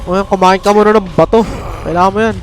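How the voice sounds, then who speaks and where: High-pitched Filipino man streaming games. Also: fairly even intonation, racing, a little hiss or hum. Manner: joking, reactive, slangy. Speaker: game streamer. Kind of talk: casual gameplay commentary.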